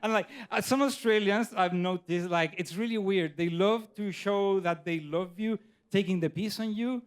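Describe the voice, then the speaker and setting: A man's voice: sits high, normal speed, clear, resonant tone. A man from Chile with a Chilean Spanish accent and Spanish as his first language, performing stand-up comedy. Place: comedy club stage.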